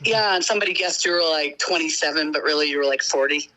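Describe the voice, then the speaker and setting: Mujer charlatana de cincuenta y tantos. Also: female voice, low-pitched, quick pace, bright, forceful tone, low-quality recording. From Boston, United States. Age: 50s. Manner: chatty, many fillers. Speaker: caller. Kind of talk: casual call-in chat.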